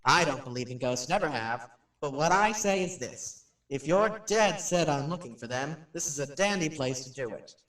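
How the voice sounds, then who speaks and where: A man's voice: on the high side, lively intonation, normal speed, fairly bright tone, far from the mic, a little hiss or hum. An actor playing a wisecracking, sceptical male radio personality giving chatty, flippant on-location patter in an audio drama. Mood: jokey, chatty. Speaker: radio show host. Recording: roomy, echoing, off-mic drama recording.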